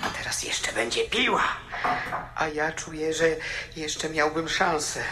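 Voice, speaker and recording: An actor playing an older dying husband in a radio drama, helpless and indignant, with a plaintive, exasperated manner. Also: male voice, fairly high pitch, steady tone, a little slow, background noise, natural voice. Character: indignant, helpless dying husband watching from outside his body.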